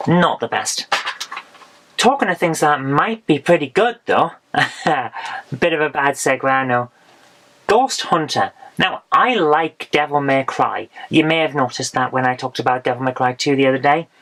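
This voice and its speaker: A woman, hoarse from losing her voice, chatting frankly through a haul of retro games with dry asides. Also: low voice, expressive, normal speed, a bit bright. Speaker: retro game vlogger. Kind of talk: casual game haul review.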